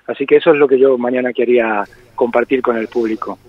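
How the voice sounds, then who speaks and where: A man's voice: medium pitch, steady tone, fast talker, no room sound. Man in his forties on a tinny phone line. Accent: slightly Argentine-tinged Spanish. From born in Spain. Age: 40s. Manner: reflective, many hesitations and repeated words. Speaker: singer-songwriter guest. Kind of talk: phone interview. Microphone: telephone.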